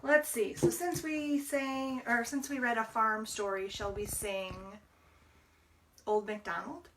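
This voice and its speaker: A woman reading a picture book aloud to young children as a library storyteller, deliberately, pausing to explain words. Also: on the high side, steady tone, a bit bright, recorded from a distance, slight background noise, rough recording.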